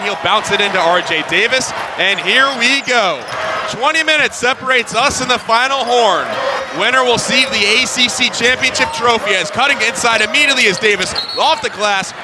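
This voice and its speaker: High-voiced young man calling basketball. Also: a crowd in the background. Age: young adult. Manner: rapid, rising excitement on big plays. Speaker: play-by-play announcer. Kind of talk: radio basketball play-by-play. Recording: loud arena noise behind.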